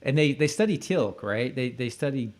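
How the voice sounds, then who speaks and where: Guy chatting on a podcast, average pitch, kinda halting, restarts phrases while thinking out loud. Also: expressive. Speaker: podcast co-host. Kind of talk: casual conversational discussion.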